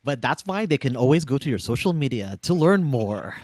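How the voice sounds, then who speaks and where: A man's voice: mid-range voice, sing-song, a little fast, a little mellow, no echo. A man casually moderating a panel as its host, close on a studio mic.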